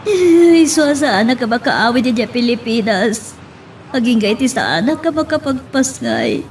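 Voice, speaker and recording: A woman with an Ilocano Filipino accent playing a troubled, remorseful married woman in an audio melodrama, halting and pleading. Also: a little high, big pitch swings, slight background noise. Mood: troubled, tearful. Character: distressed pregnant married woman, remorseful, confessing an affair. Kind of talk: Ilocano radio-style melodrama acting.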